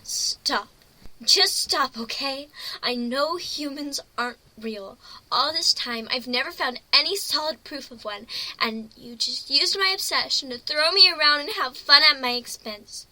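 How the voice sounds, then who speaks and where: A woman voicing an emotional, excitable young female pony in a dramatic reading of a fan fiction story. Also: sits high, measured pace, heavily acted, poor audio. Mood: hurt, angry.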